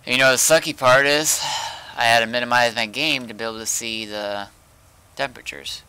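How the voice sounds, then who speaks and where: A man who streams games, chatting casually in a low-key way with brief replies. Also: steady tone, moderate pace, sharp tone, clear, resonant tone, no echo, low-quality recording. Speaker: game streamer. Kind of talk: casual chat. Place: at a PC on online voice chat.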